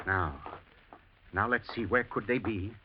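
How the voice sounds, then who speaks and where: An actor playing a shrewd, suspicious master of the house, urgent and dryly genteel, in a 1940s-style radio drama. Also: male voice, on the low side, unhurried, heavy background noise, lightly acted. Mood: wry, suspicious. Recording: muffled, grainy old radio broadcast.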